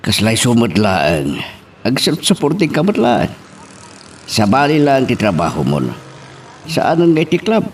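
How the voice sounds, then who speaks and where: Man acting as an animated older village father. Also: medium pitch, a little slow, pauses often, a little hiss or hum. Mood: conceding, persuading. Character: older village father, plain-spoken, conceding, fond of his daughter. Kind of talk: Ilocano radio drama acting.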